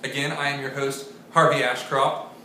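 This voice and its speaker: A man hosting a mock-serious comedy accent lesson in a deadpan, mock-authoritative manner. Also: mid-range voice, normal speed. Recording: very echoey room with faint hiss.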